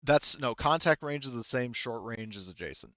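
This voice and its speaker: A man acting as game master of a tabletop roleplaying game, explaining rules and often pausing to think aloud. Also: mid-range voice, expressive, a bit bright, no room sound, lo-fi sound.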